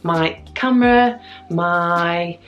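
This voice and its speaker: Husky-voiced young woman, chatty vlogger. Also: on the low side, very slow, lo-fi sound, soft background music. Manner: upbeat, chatty, addresses viewers directly. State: husky from a cold. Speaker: fashion vlogger. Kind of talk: chatty product unboxing review. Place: home room with framed prints, indoors.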